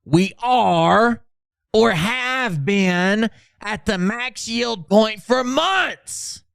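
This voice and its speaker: Man putting on an overwrought, high-pitched online prophet. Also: lively intonation, gravelly, a bit bright, clean tone, dry recording, studio quality, heavily acted. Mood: grandiose, melodramatic. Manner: theatrical, drawn-out, emphatic. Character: overwrought male online prophet, grandiose and melodramatic. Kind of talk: over-dramatic mocking reading.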